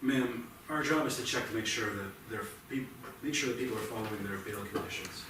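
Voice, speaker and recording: An actor playing a polite, by-the-book police officer in a staged play reading, measured and procedural. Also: male voice, mid-range voice, natural voice. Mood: polite, matter-of-fact. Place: theatre stage, indoors. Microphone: distant room microphone. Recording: very echoey theatre, distant mic, noisy.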